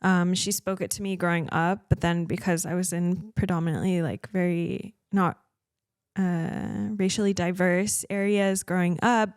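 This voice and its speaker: A young American woman, a racing driver, chatting as a podcast guest and pausing often to search for words. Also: on the low side, steady tone, darkish tone, clean tone, dry recording, studio quality. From United States. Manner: hesitant, many fillers, searching for words. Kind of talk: casual interview chat.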